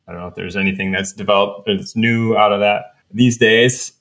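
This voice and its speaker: A man hosting a podcast, chatting in a relaxed way and thinking aloud as he explains. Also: fairly low pitch, moderate pace. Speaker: podcast host, researcher. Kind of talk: casual podcast chat.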